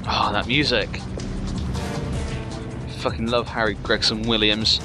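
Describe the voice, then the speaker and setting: A man's voice: fairly low pitch, little pitch movement, a little slow. A man giving casual let's-play commentary as a gaming host, rambling and jokey with some swearing. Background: game music.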